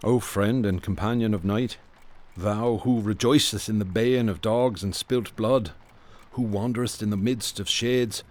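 A man's voice: on the low side, fairly even intonation, measured pace, a little mellow, lightly acted. A man playing a level-headed, bookish detective who tells his grim story in a radio drama, matter-of-fact and erudite. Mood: solemn incantation. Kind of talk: radio drama acting and first-person narration.

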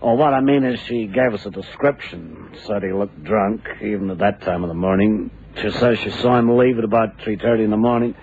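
An actor playing a slangy police officer in a radio drama, reporting in a clipped, matter-of-fact manner. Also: male voice, lowish voice, brisk, hardly any pauses, darkish tone, lightly acted. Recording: tinny, noisy 1960s radio drama recording.

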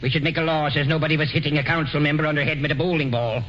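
A man's voice: on the high side, fairly even intonation, racing, slight background noise, lightly acted. An actor playing a pompous, bossy male council president in broken English, on a dull old radio broadcast. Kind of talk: 1940s radio acting.

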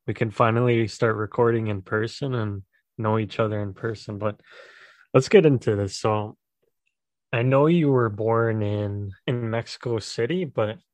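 Young american guy hosting a podcast on a call, lowish voice, lots of "like", dry close sound. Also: normal speed, no echo. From United States. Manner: relaxed, filler-heavy questioning. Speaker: podcast host. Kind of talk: casual podcast chat.

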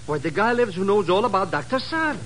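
An actor playing an excitable, chatty small-time hustler with a foreign European accent in an old-time radio drama. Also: male voice, high-pitched, quick pace. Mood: excited, boastful. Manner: chatty, slangy, garbled wording, flustered. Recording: noisy vintage 1950s radio recording.

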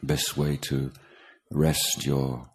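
Very deep, slow-speaking male meditation teacher. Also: level tone. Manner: halting, measured, with pauses between short phrases. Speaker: meditation teacher, Buddhist monk. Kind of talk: guided meditation instruction. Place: meditation retreat talk in Thailand.

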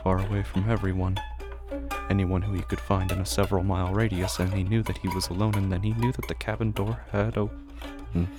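A man giving a scripted, dramatic narration of a horror story in a deliberate, intense manner. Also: sits low, flat delivery, over music. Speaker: narrator of a horror fiction podcast.

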